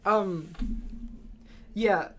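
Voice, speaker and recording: A man's voice: high-pitched, very slow. A young man with an American accent talks informally as a podcast co-host. His delivery is casual and conversational, and there is faint ambient noise in the recording. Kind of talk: casual chat.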